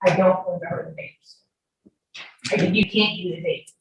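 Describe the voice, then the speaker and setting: A woman's voice: fairly low pitch, steady tone, normal speed. Emphatic woman testifying, very echoey and distant. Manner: emphatic, defensive. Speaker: witness testifying in court. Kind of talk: courtroom testimony under questioning. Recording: echoey, distant courtroom audio with faint noise.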